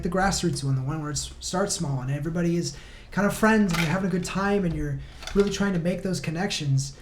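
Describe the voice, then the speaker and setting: A man's voice: high-pitched, a little mellow, slight background noise. A man speaking as a podcast guest in casual chat, explaining things earnestly with some slang. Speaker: band member, podcast guest.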